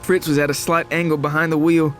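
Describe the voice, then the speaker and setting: Male horror storyteller, fairly high voice, dramatic scripted narration, solemn, suspenseful, faint music behind. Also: little pitch movement, quick pace.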